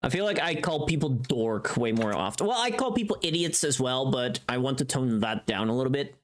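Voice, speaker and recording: A woman hosting a casual livestream, chatting with viewers in a playful, teasing, sweary and expressive way. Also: a bass voice, a little fast. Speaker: livestream host.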